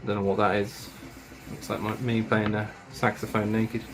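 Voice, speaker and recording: A man's voice: on the low side, flat delivery, moderate pace, rough recording. A man casually reviewing metal records and tapes, enthusiastic and chatty, with slang and swearing.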